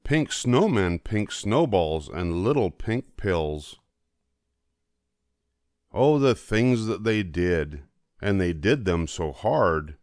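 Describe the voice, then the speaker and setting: An older man reading a rhyming children's story aloud as an expressive narrator. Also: deep voice, takes it slowly, no room sound, studio quality. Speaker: storybook reader.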